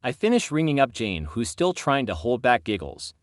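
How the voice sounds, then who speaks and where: Male narrator for scripted stories, animated intonation, dry sound, plays out quoted lines. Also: medium pitch, normal speed, dry recording. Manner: acts out quoted dialogue. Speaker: channel host narrator reading stories.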